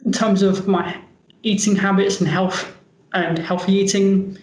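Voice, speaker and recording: A man's voice: sits high, level tone, measured pace, reverberant, rough recording. A man with a London accent, chatting upbeat as an interview guest. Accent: London English. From England. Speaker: guest, medical device founder. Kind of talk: casual interview talk.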